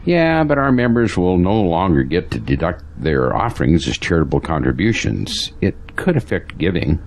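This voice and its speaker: A resigned, pragmatic churchgoing man, with a deep voice that moves up and down a lot. He ponders things matter-of-factly, in audio drama style. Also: very animated, normal speed. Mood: worried.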